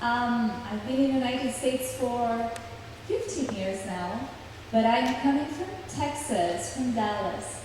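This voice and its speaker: A woman from Brazil with a Brazilian Portuguese accent, giving an earnest personal testimony with frequent pauses. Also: a little high, noisy background. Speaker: church plant speaker. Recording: echoey hall, distant mic.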